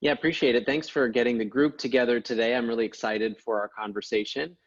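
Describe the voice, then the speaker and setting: A man from Oregon introducing himself as a podcast guest and advocate, speaking earnestly and in a measured way with frequent small fillers. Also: mid-range voice, fairly even intonation, no room sound. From Portland, Oregon, USA. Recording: dull, dry, lo-fi sound.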